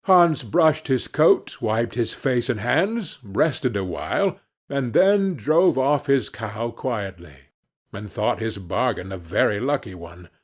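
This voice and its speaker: A man reading a story as an audiobook narrator, expressive and carefully phrased. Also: medium pitch, slow, breathy edge.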